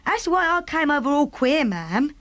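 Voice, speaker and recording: A flustered middle-aged maid, voiced by a woman reading a storybook, respectful yet quick to fret. Her voice sits somewhat high and is recorded dry and close. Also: big pitch swings, fairly bright tone, dry recording. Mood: shaken. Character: middle-aged female maid, nervous and deferential.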